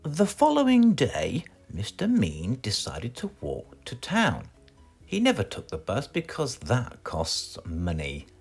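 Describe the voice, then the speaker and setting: A man with a British accent reading a children's storybook aloud in a theatrical, expressive way. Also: medium pitch, slow pace, vocal fry, husky, soft background music. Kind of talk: animated children's storybook narration.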